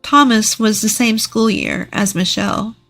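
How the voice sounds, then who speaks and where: A woman's voice: mid-range voice, a little slow, gravelly, a bit bright, soft background music. A woman narrating a scripted first-person story.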